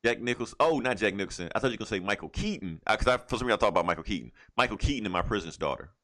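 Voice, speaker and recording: A man's voice: on the low side, lively intonation, racing, bright, forceful tone. A man co-hosting a podcast in casual, opinionated fan banter with plenty of swearing. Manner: profane, opinionated fan talk. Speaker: podcast co-host. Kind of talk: casual pop-culture banter.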